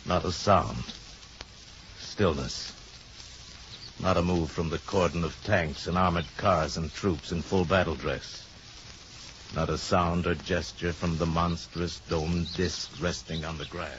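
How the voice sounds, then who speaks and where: An actor as the grave, suspenseful male narrator of a 1950s radio drama, speaking in a measured, dramatic way. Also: sits low, steady tone, a little hiss or hum. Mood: hushed, suspenseful. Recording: dull old radio recording, loud music.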